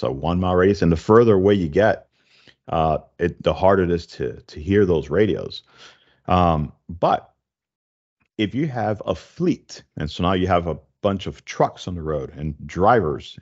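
A man's voice: deep voice, a little mellow, muffled recording. A middle-aged man hosting a casual tech podcast, explaining things conversationally into a close desk microphone. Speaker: podcast host. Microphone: close desk microphone with headphones.

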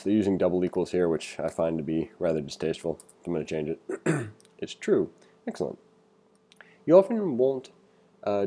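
A man's voice: low voice, mellow, husky. A man working as a programming tutor, reading along from a text and adding casual, opinionated asides in a conversational way. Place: voice-over for a screen recording.

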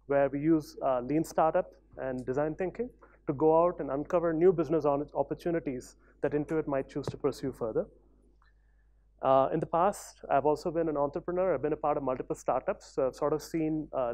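A man in his thirties speaks at a conference podium in a large hall. His voice is mellow and warm, and he talks in a loose, conversational way, often hedging with small fillers. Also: on the high side. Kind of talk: conference talk.

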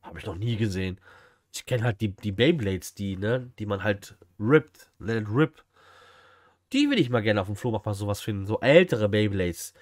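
A man's voice: fairly low pitch, animated, normal speed, no echo, studio quality. A man, chatting casually and musing aloud as he reacts on a livestream. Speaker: streamer reacting to a video. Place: indoor streaming room.

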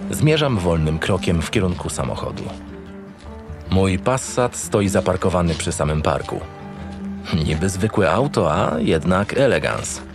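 A man plays a cynical homicide detective in a crime audio drama. His voice sits fairly low, gruff and sardonic, with irritation and swearing never far away. Music plays underneath. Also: sing-song, unhurried, heavily acted. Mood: brooding. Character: cynical, irritable male homicide detective, foul-mouthed boss. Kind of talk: first-person audio drama narration and dialogue.

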